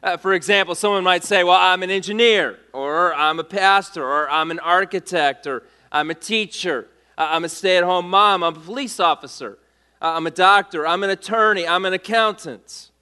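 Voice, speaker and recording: Male pastor, bright and crisp, measured delivery with repeated questions, preaching in a church. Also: high voice. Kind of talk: sermon.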